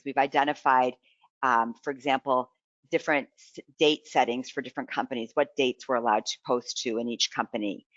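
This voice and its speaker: Software demo presenter, mid-range voice, informal walkthrough, thinking aloud, dull dry recording. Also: medium pitch, creaky, no room sound. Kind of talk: live product demonstration with casual explanation.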